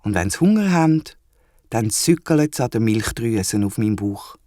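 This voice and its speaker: Brisk platypus mother, acted by a storyteller, Swiss German. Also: mid-range voice, lively intonation, no echo, studio quality, pitched below the performer's own voice, close to an everyday voice. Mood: explaining. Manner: matter-of-fact, gently chiding. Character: brisk, teasing platypus mother with newborn twins.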